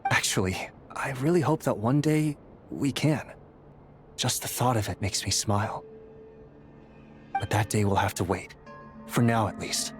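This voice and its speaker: A man's voice: lowish voice, unhurried, pauses often, lightly acted. A man voicing an earnest, dutiful young squad leader in a video game, explaining things in a friendly way. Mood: warm, hopeful. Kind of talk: English video game character acting. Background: background music.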